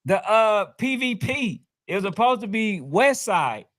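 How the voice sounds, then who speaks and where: A male sports chat host with a high-pitched voice. He talks in loose slang, arguing his case and circling back to repeat his points. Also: big pitch swings, unhurried, fairly bright tone. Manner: slangy, explanatory, repeats himself. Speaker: sports talk show host. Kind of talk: casual, heated sports chat.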